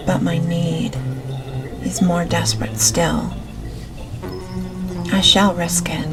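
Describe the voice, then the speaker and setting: Slow, gentle woman reading a gothic audiobook. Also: on the low side. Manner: gentle, unhurried, measured reading. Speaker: audiobook narrator. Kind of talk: scripted audiobook narration of a gothic novel.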